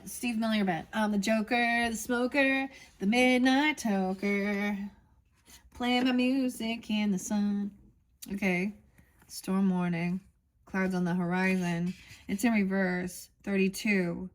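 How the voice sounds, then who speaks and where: A woman with a medium-pitched voice leads an oracle card reading. She muses aloud in a loose, meandering way, drifting between her own thoughts and the text she reads off the cards. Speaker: tarot reader.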